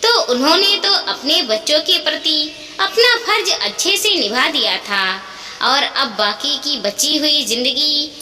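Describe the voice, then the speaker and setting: A woman narrating a scripted moral story in an expressive, earnest way. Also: high voice, a little slow. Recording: echoey reverberant sound, clear background noise.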